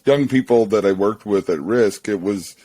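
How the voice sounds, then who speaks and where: A man's voice: on the low side, moderate pace, warm tone. A man hosting a casual interview, easygoing and encouraging. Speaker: podcast host.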